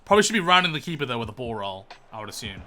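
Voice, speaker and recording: A young man hosting a gaming video in a casual, chatty way, his voice slightly croaky and strained, and he bursts into loud excited shouts. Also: mid-range voice, rapid-fire, faint music behind. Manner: chatty, calls viewers boys, erupts into loud excited shouts.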